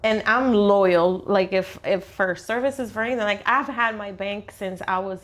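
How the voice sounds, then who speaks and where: A woman's voice: mid-range voice, normal speed. Casual young woman podcast host. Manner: casual, lots of likes and fillers, laughs easily. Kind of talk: casual interview chat.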